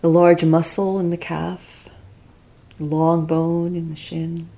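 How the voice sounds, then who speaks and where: A woman teacher leading a guided body scan meditation, speaking in gentle, reflective, unhurried instructions with long pauses. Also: lowish voice, level tone.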